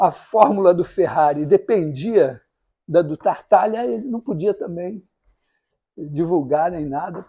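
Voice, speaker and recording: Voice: mid-range voice, dark tone, husky. A university mathematics lecturer with a Brazilian accent gives an informal, storytelling lecture in a rambling, digressive, self-correcting way.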